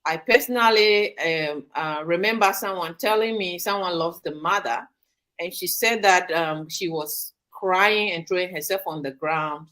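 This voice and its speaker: A woman hosting a live online talk show, speaking in a measured way with frequent pauses and fillers. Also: lowish voice, fairly bright tone. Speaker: host. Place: studio or home in Sydney, Australia.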